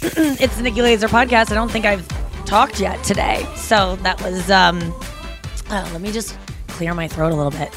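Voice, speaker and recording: A woman hosting her podcast in casual, rambling chat, a little hoarse, with lots of fillers and restarts. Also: medium pitch, normal speed, music in the background. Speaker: podcast host.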